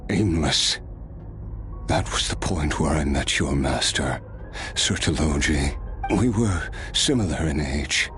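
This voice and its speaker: A weary, ancient swordsman with an extremely deep voice, speaking slowly and gravely. He tells his tale in a measured, solemn way and sometimes drops to a hush. A game's musical score plays softly behind him. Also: heavily acted. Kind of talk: video game cutscene voice acting. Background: game music score.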